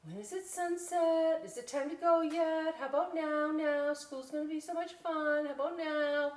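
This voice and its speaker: A woman's voice: high voice, fairly even intonation, moderate pace, a little mellow, echoey room, distant mic, pitched above the performer's own voice, natural voice. A woman voicing an eager, impatient little boy bat in a picture-book story. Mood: impatient, excited.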